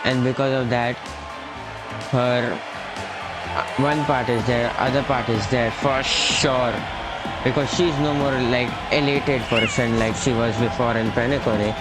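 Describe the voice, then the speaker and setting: A man's voice: mid-range voice, measured pace, poor audio. A man reacting to a video game as a streamer, talking loosely with broken phrasing. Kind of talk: casual gameplay reaction. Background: loud game music.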